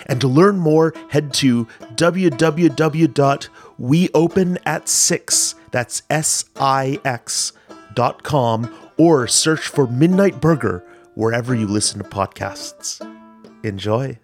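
A man's voice: medium pitch, slow. Conversational male podcast host over light music. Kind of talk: casual scripted introduction. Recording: music behind.

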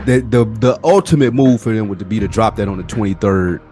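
An American man with a fairly low voice talks as a podcast co-host. His delivery is informal and slangy, like everyday conversation. Music plays behind him. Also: fairly quick, dark tone. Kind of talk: casual conversational chat.

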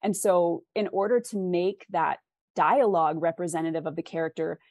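A woman's voice: fairly low pitch, moderate pace, darkish tone, dry recording, studio quality. A woman speaking conversationally as an interview guest, explaining writing craft articulately with examples. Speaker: interview guest, fiction author.

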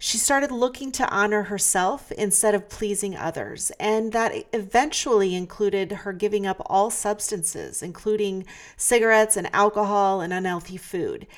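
A woman who hosts a podcast and works as a coach, reading a prepared introduction and then asking her guest questions. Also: mid-range voice. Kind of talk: interview podcast.

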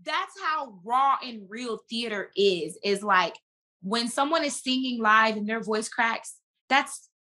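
A woman with a bright, forceful voice speaks as a guest in a casual podcast chat. She is an actress who tells things in a slangy, emphatic way, with plenty of animation. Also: a little high, lively intonation, takes it slowly. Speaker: guest, stage and screen actress.

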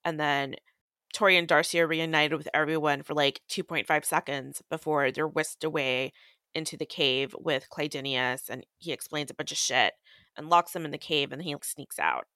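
Smooth, close-sounding woman recapping a book. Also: sits low, moderate pace, pushed and bright, dry recording. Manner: rambling, slangy storytelling. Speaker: podcast co-host.

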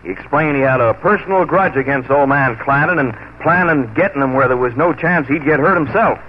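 Actor as crooked Western sheriff, medium-pitched male voice, emphatic, deliberate, muffled vintage radio sound. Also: natural voice. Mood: solemn, accusing. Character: crooked frontier sheriff giving self-serving sworn testimony. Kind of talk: 1940s radio drama acting. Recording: muffled, noisy 1940s radio broadcast.

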